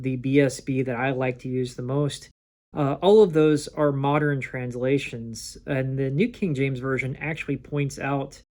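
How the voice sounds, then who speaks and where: A man's voice: mid-range voice, a little mellow. A man giving a solo Bible teaching talk, reading verses aloud and explaining them with everyday examples. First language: English.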